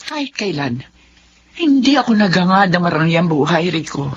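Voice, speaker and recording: A woman's voice: on the low side, sing-song, normal speed, slight background noise. A long-suffering middle-aged wife and mother in a Filipino radio drama. She is earnest, firm and reproachful. The recording is dull and lo-fi.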